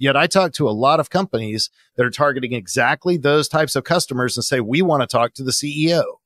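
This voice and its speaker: Male consultant, interview guest. Average pitch, methodical explainer who numbers his points, very dry recording. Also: a bit bright, no room sound. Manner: methodical, enumerating points, checking with "right?".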